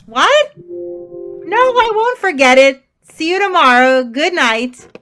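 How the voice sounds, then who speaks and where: Woman playing spirited young society lady, very high pitch, bright and sharp, exclamatory, old-time radio drama. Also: animated, slow, soft background music, exaggerated voice. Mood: bright, chatty. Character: indignant, frightened but defiant young society woman.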